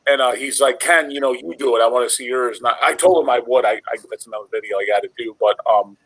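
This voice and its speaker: A man's voice: medium pitch, quick pace, fairly bright tone, dry recording, low-quality recording. A middle-aged man who hosts a channel, chatting casually about music in a rambling, repetitive way. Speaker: metal video channel host. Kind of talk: casual group chat about metal.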